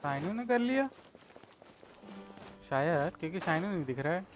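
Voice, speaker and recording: Fast-talking man streaming a game. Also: a little high, lively intonation, faint music behind. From India. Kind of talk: casual game commentary.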